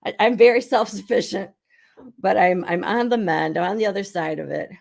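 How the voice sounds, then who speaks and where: A middle-aged woman who is hoarse while getting over an illness, chatting casually as she hosts a live skincare demonstration at home. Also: medium pitch, a little fast, darkish tone. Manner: chatty and rambling, with frequent restarts. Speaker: beauty consultant and show host.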